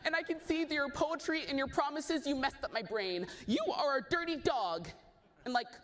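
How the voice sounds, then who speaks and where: A man's voice: very high voice, normal speed, a bit bright, voice pushed up. A man doing a sassy young woman. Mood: distraught. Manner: sassy, slangy. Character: young woman, scheming then distraught girlfriend.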